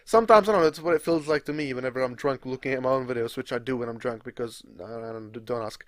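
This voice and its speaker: A man's voice: medium pitch, fast, no room sound. A young man whose first language is Czech, rambling casually over gameplay, swearing freely and laughing at himself. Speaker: gaming video creator. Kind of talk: casual rambling live commentary.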